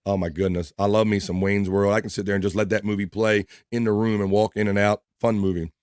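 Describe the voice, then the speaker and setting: A hoarse man hosting a livestream, chatting casually and shouting out viewers' names in an upbeat way. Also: fairly low pitch, level tone, quick pace, no echo, studio quality. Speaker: livestream host. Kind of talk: casual chat, reading chat messages.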